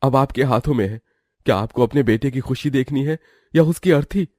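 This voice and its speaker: A man's voice: medium pitch, a little fast, a little mellow, no room sound, studio quality, close to an everyday voice. Man playing a callous young cartoon husband. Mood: determined.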